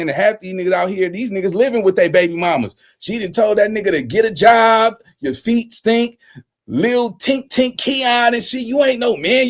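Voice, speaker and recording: Male streamer, high register, loose slang-heavy rambling, animated street-talk commentary.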